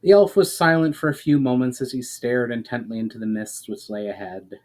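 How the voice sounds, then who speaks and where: A man's voice: medium pitch, a little fast, darkish tone. A man narrating a fantasy story as a dramatic reading.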